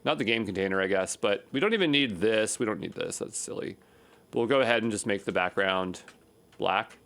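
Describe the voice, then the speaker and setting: A man's voice: on the low side, expressive, fairly quick, gravelly, a bit bright. A man giving an unscripted screencast programming tutorial, thinking aloud in a casual, rambling way and often checking himself with a questioning tag. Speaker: programming instructor.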